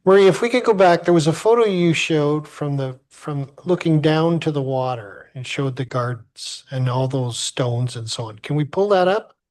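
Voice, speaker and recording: Polite mayor chairing a council meeting. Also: male voice, a little high, a little mellow. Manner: halting, searching for words, polite. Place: council chamber.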